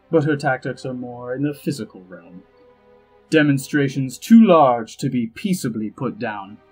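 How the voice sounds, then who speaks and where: An actor playing a suave, self-satisfied elf ambassador and spymaster in an audio drama, arch, droll and flowery, with a husky voice. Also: male voice, mid-range voice, a little mellow, close to an everyday voice, low-quality recording. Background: music under the voice.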